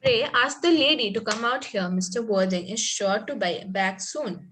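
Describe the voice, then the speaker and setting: A woman's voice: a little high, moderate pace, a bit bright, slight background noise, close to an everyday voice. A woman reading the part of a naive, romantic young Victorian girl in an amateur play reading, earnest and politely formal. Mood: prim. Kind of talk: amateur play table read.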